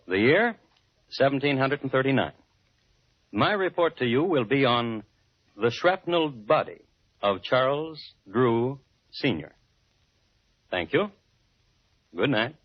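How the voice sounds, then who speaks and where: An actor playing a measured crime chronicler, narrating formally and precisely in an old-time radio drama. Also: male voice, mid-range voice, no echo. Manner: formal, deliberate, precise diction. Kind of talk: 1940s-50s radio narration, scripted. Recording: dull vintage radio recording with clicks, hiss.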